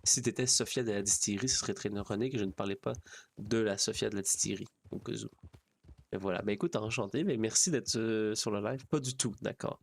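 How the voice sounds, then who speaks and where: A man's voice: lowish voice, fast talker, gravelly. A man with a Quebec French accent chatting casually to livestream viewers, rambling and repeating his phrases. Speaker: streamer.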